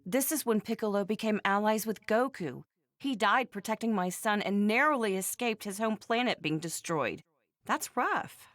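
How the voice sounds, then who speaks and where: Woman acting an animated, doting yet strict mother. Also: medium pitch, normal speed, no room sound, close to an everyday voice. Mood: frank. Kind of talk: scripted English anime game voice acting.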